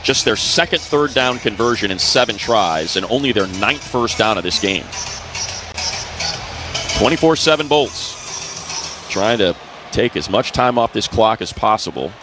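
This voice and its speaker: A man's voice: on the low side, lively intonation, measured pace, pauses often, over music. A man calling live football play-by-play on the radio in clipped phrases, following each play as it happens. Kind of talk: live radio sports commentary. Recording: noisy live stadium broadcast.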